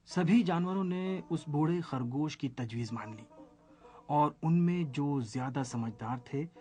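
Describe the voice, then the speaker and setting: A man's voice: on the high side, measured pace, darkish tone. A man narrating a scripted moral story for children as a storyteller. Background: music.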